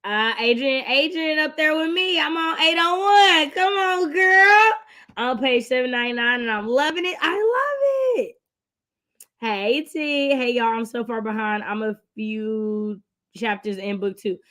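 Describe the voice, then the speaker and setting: A woman with an American accent hosting a book readalong stream in an animated, colloquial, emphatic way. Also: high voice, unhurried. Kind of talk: casual livestream chat.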